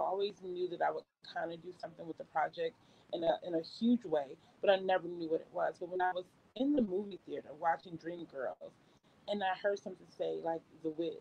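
A woman's voice: lowish voice, gravelly, darkish tone, low-quality recording. A woman from upstate New York, a guest on a video call, telling a long story with laughs and restarts. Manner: laughs often, rambling with restarts. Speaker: guest, executive producer. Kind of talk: casual interview storytelling.

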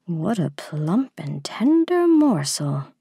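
A cruel old robber queen out of a storybook, put on by a woman. Her voice is lowered and very breathy, and she draws out each menacing word with greedy relish. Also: sing-song, slow pace, warm tone, no echo, heavily acted. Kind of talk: storybook villain voice.

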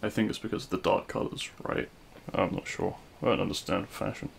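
A man playing a regretful, lovelorn supervillain in an audio roleplay, speaking in a hushed, halting, wistful way. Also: deep voice, fairly even intonation, gravelly, a little hiss or hum. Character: regretful lovelorn male supervillain addressing his fallen hero. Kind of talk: scripted ASMR audio roleplay monologue.

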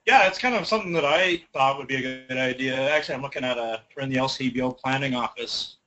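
A man with a bright, tense voice chats about brewing in an easygoing, rambling way. He is recorded in an office. The sound is dull, with a faint hiss behind it. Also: mid-range voice, fast talker. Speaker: brewery guest. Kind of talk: casual interview chat.

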